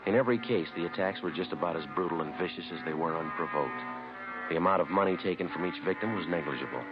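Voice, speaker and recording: Man playing terse police detective, American, bottomless low voice, deadpan, clipped delivery, old-time radio drama, dull vintage sound. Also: fast, lightly acted. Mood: matter-of-fact. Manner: deadpan, matter-of-fact. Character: terse, businesslike male police detective narrating his case. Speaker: detective narrator. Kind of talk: 1950s radio crime drama, clipped narration. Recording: muffled vintage radio recording, music behind.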